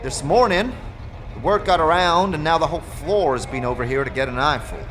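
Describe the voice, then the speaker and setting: A man voicing a brisk, weary woman who supervises a foundry floor in a fantasy audio drama. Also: on the high side, a bit bright, pitched above the performer's own voice, close to an everyday voice, rough recording. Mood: weary, businesslike.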